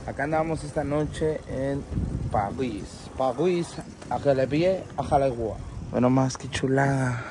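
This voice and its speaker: Mexican dude vlogging on the street, jokey slang, talks slow, noisy traffic all around. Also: male voice, mid-range voice. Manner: playful, slangy, joking with friends. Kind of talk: casual travel vlog. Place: outdoors on city streets, often riding a bike. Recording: outdoor street noise. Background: street traffic and city noise.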